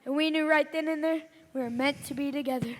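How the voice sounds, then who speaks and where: A woman's voice: high voice, steady tone, fast, background noise, natural voice. A woman playing a curious, goofy, boyish sidekick in a stage radio comedy. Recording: reverberant hall.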